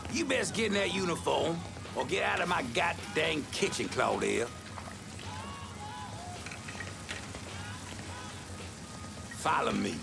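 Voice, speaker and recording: Cranky southern kitchen boss in a game, high voice swinging all over, barking orders. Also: male voice, lively intonation, moderate pace, creaky, music in the background. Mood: bossy, irritated. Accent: American South. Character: bossy, irritable male kitchen manager scolding his staff. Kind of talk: video game acting.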